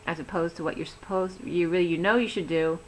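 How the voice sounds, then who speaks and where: A woman giving a rambling, chatty tarot reading, talking with a voice that is going hoarse. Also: fairly low pitch, steady tone, fast, slight background noise, low-quality recording. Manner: chatty, rambling, laughs easily. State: losing her voice. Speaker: tarot reader. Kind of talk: casual tarot reading to camera.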